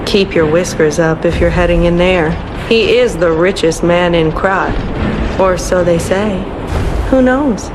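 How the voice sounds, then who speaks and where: A woman's voice: fairly low pitch, a little slow, natural voice, low-quality recording. A woman playing a sly, flirtatious rogue in a video game, with an arch, knowing delivery. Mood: playful, sly. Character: sly, flirtatious female rogue, playful and money-minded. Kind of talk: video game character acting. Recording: music behind the voice.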